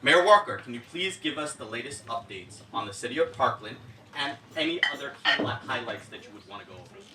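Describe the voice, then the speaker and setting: A man with a very bright, sharp voice introduces panelists in an upbeat, scripted way. He sounds distant from the microphone. Faint noise hums in the background. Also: mid-range voice, animated, quick pace. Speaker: event moderator.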